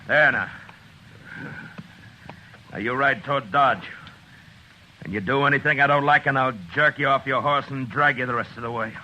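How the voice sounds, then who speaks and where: An actor playing a stern, weary Old West marshal in a 1950s radio Western, speaking tersely and with command. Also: male voice, mid-range voice, moderate pace, long pauses, sharp tone. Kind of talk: 1950s Western radio drama acting and narration. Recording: noisy old radio recording.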